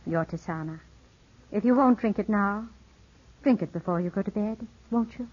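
An actress playing a poised, gracious widow, coaxing and measured, in 1950s radio drama style. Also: female voice, mid-range voice, natural voice. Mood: gently insistent. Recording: muffled old 1950s radio broadcast.

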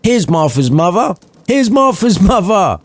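An excited Victorian child, put on by a grown man, calls out in a shrill, much-raised voice. The storybook delivery is eager and dry. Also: unhurried, no echo, heavily acted. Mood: joyful. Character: excited young Victorian children, boy and girl.

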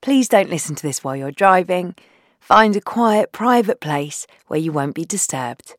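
A woman leading a guided meditation in a soothing, gentle, unhurried way, leaving long pauses between phrases and softening into breathy endings. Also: on the low side, lively intonation, no echo, clean studio sound. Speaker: guided meditation leader, founder.

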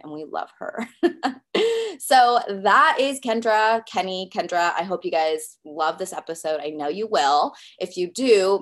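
A young woman from California hosting a podcast, sounding stuffed up and a little nasal from a cold, bubbly and gushing with frequent laughs. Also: lowish voice. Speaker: podcast host. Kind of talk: upbeat podcast intro.

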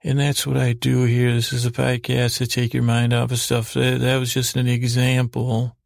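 Man, very creaky voice, close dry sound, whimsical bedtime rambling, halting, meandering, podcast host. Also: medium pitch, monotone, no echo. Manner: meandering, halting, repeating half-words; whimsical, mock-serious. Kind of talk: rambling bedtime monologue to help listeners sleep.

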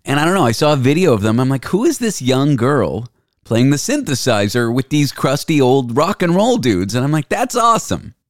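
A man's voice: medium pitch, very animated, moderate pace, no room sound, clean studio sound. A man hosting a podcast in casual chat, playful and jokey, recorded over a remote call. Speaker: podcast host.

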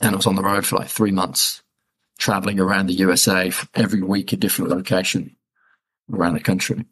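A man, a podcast guest casually telling stories about his upbringing in a relaxed, chatty, self-deprecating way. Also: sits low, quick pace, slightly rough, rough recording. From England. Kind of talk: casual conversational storytelling.

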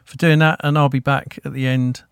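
A man hosting a podcast, talking in a relaxed, rambling way with many fillers. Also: medium pitch, fairly quick, vocal fry, clean tone, dry recording, clean studio sound. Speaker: podcast host. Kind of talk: casual interview chat.

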